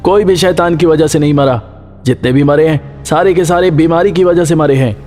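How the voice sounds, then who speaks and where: Man as a high-voiced young village doctor. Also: moderate pace, a little mellow, big-room sound, faint music behind, natural voice. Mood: amused, dismissive. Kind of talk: cartoon story acting.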